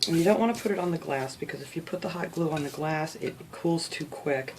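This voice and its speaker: A woman hosting a casual craft tutorial at home, chatting in a relaxed way with lots of "you know", and sounding a little sniffly. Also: sits low, brisk, background noise. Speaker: craft video host.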